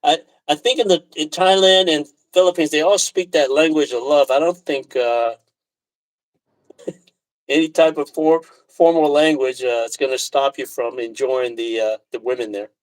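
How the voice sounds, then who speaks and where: A man from Taiwan hosting a casual live chat, talking in a halting, rambling way with frequent throat clearing. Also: fairly high pitch, steady tone, rough recording. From Taiwan, left as a little boy. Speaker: live stream host.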